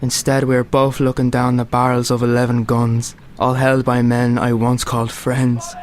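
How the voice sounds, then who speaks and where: An actor playing a young soldier who narrates his own execution in steady, grim tones. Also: medium pitch, moderate pace, a little hiss or hum, natural voice. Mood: grim calm. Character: young male soldier refusing to shoot his brother. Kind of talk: amateur radio play acting.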